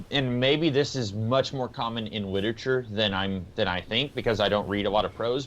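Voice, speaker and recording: A man's voice: medium pitch, fairly bright tone, lo-fi sound. A man co-hosting a podcast, explaining his thoughts in a casual, rambling, tentative way. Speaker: podcast co-host. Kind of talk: casual discussion of books and comics.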